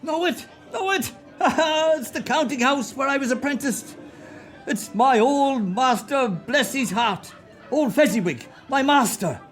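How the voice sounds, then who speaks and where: High-voiced old miser, man doing him, stammers and quavers, radio play, faint music behind. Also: expressive, unhurried, a bit bright, exaggerated voice. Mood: delighted. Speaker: amateur radio play actor. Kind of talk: radio drama acting.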